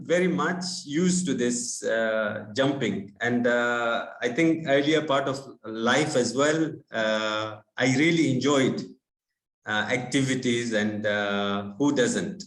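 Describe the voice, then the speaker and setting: Guest speaker on a video call, man, unhurried and halting, roomy sound. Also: mid-range voice, fairly bright tone. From India. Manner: halting, many fillers, friendly. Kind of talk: online talk. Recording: echoey room.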